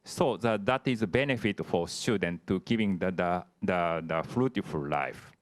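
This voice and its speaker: A male professor with a somewhat low voice answers questions during an online university lecture. He speaks haltingly and keeps restarting his sentences with little filler words.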